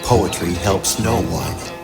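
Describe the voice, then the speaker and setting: A man reading fragmentary poems in a slow, measured way. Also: low voice, expressive. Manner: measured, fragmentary, trailing into whispers. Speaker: poetry reader. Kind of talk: slow poetry reading over a soundscape. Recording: voice over loud music bed.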